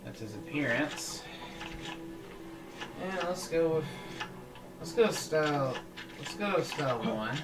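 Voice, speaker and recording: A man speaks slowly and haltingly while he plays, thinking aloud and restarting his sentences. His voice sits back from the mic in an echoey room. Game music plays clearly behind him. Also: a little high, expressive, recorded from a distance. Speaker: gaming channel host. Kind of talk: casual let's-play commentary.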